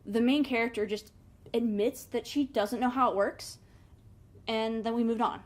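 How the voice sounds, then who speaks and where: Female book review host, somewhat high voice, casual and chatty, wry asides, animated emphasis. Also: moderate pace.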